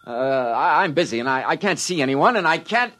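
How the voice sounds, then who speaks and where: An actor playing a panicky, sniveling scientist in a 1950s radio drama, whining, pleading and stammering when flustered. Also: male voice, fairly high pitch, lively intonation, fairly quick, sharp tone. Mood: panicked pleading. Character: panicky, sniveling male publicity-minded scientist.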